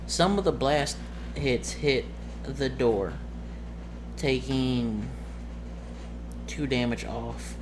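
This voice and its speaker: Slow-paced man narrating a tabletop game, noisy recording. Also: medium pitch. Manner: halting, muttering through dice sums. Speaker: game master. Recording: clearly noisy background.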